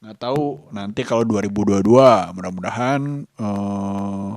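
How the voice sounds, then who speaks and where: A man, giving a casual vlog monologue in halting, deliberate short phrases. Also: on the low side, darkish tone, no echo, clean studio sound. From Indonesia. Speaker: vlogger.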